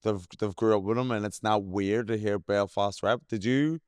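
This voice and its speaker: Host guy, lowish smooth voice, rambly questions, dry close mic. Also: steady tone, normal speed, darkish tone, dry recording. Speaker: podcast host. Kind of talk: casual podcast chat.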